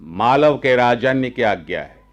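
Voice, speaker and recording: A man's voice: mid-range voice, expressive, measured pace, muffled recording, soft background music, natural voice. A man playing a proud, hospitable ancient Indian ruler in a stately, declamatory radio drama style. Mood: commanding, formal. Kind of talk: Hindi radio drama acting.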